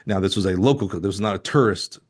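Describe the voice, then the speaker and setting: A middle-aged man from Alabama hosting a casual interview, talking in an effusive, halting way and often restarting his thoughts. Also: sits low. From Alabama, United States. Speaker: podcast host.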